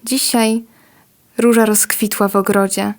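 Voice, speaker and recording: A woman reciting a poem in a slow, solemn manner. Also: medium pitch, flat delivery. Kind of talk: poetry reading.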